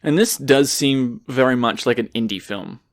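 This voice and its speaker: A man's voice: medium pitch, normal speed. A man co-hosting a casual film podcast, laying out background facts about a movie with plenty of ums and uhs. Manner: informative, shares background facts with fillers. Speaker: podcast co-host.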